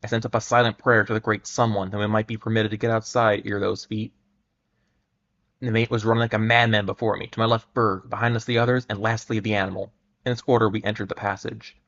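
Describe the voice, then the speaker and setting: Low, gravelly man on a muffled stream. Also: flat delivery, fairly bright tone. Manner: halting, stumbles and repeats words. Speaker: livestream host reading stories aloud. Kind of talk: dramatic reading and casual livestream chat.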